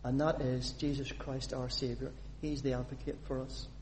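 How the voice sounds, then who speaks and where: A man from Northern Ireland preaching a sermon, putting questions to the congregation and reading scripture aloud in an animated way. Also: medium pitch, normal speed. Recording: long hall reverb.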